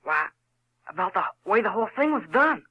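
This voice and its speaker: A man playing a bold, excitable Southern boy in an audio drama, eager and insistent. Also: high-pitched, brisk, slightly rough, lo-fi sound, exaggerated voice. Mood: proud, eager. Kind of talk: audio theater acting.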